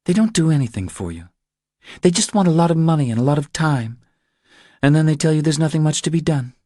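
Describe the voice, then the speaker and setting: A man voicing a nervous, apologetic woman driver who pleads hesitantly for help in a thriller audiobook, with a breathy voice. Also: a little high, lively intonation, fairly quick, a little mellow, no echo, studio quality, pitched above the performer's own voice. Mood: frustrated, weary.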